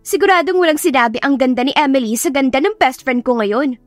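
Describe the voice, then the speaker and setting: A chirpy young Filipina best friend, as a woman narrator acts her in an audio drama, teasing and gushing. The voice is very high and dry, with music underneath. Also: fast talker, dry recording, lightly acted. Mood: playful teasing. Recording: music behind the voice.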